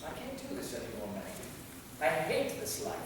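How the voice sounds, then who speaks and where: A man's voice: a little high, wildly swooping pitch, moderate pace. A man playing an anguished, weary and bitter immortal vampire in a staged reading. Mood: despairing. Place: theater, recorded from the audience distance. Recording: echoey hall from far off, faint noise.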